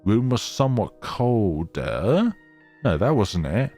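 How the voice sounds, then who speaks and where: Guy reading a horror game out loud, deep-ish voice, really slow, dramatic pauses. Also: sing-song, faint music behind. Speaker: streamer playing a horror visual novel. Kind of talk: reading game narration aloud dramatically, with casual asides.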